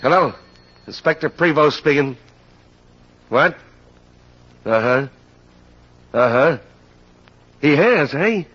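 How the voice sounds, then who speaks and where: Husky, blunt radio police inspector. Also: male voice, on the high side, lively intonation, takes it slowly, long pauses, heavily acted. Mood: brisk. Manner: blunt, colloquial. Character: gruff, no-nonsense male police inspector, detective's sidekick. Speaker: actor in a radio crime drama. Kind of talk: old-time radio crime acting. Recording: dull old radio recording.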